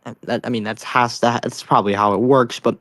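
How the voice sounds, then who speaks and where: A young man streaming and chatting casually, rambling, with his pitch jumping up when he stresses a point. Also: steady tone, brisk, fairly bright tone. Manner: rambling, swings up in pitch on emphatic lines. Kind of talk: casual chat.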